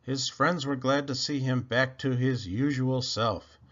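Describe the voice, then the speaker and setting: Guy reading an adventure book out loud, slow pace, bit dull sounding recording. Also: medium pitch. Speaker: storybook reader. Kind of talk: scripted read-aloud storytelling.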